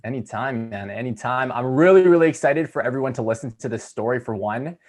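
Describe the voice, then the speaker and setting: Host: young upbeat man, mid-pitched, lively delivery. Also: a little fast. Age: young adult. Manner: upbeat, enthusiastic. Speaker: podcast host. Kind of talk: casual podcast interview.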